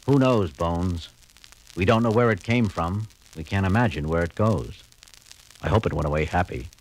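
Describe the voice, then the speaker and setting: An actor playing a resolute male starship captain who gives clipped commands and muses slowly, in a 1970s audio drama. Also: low voice, poor audio. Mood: reflective. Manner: clipped commands, then slow reflective speech. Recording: music score behind voice.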